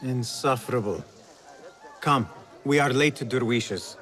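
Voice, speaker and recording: A man's voice: medium pitch, a little slow, fairly bright tone, close to an everyday voice. An actor playing a cocky, playful and ambitious young male thief in a video game cutscene. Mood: teasing. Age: young adult.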